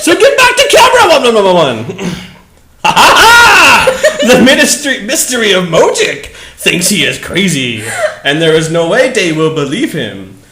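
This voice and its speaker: A man doing a comedic dramatic reading of bad fan fiction, with deadpan asides and casual, giggly banter. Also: high-pitched, measured pace, reverberant, heavy background noise.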